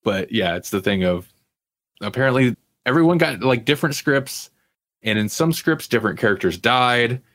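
A man's voice: mid-range voice, animated, moderate pace, fairly bright tone. A man hosting a casual pop culture talk show in a wry, conversational way. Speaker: podcast host.

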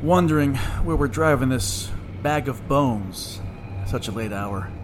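A man playing a sinister, mockingly genteel dark villain in an audio drama, theatrical and taunting. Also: medium pitch, animated, measured pace, natural voice. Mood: mocking, gleeful. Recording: loud hum of car engine. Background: car hum, engine noise.

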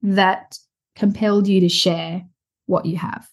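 A woman's voice: medium pitch, fairly even intonation, a little slow. A woman, hosting a casual interview podcast in an enthusiastic, chatty way. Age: 40s. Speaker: podcast host.

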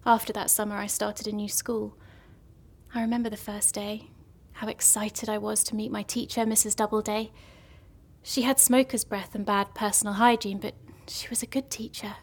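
A woman's voice: medium pitch, level tone, normal speed, slightly rough. An actress playing a lonely, gloomy young woman in an audio drama comedy, telling morbid stories earnestly and hesitantly. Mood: gloomy, matter-of-fact.

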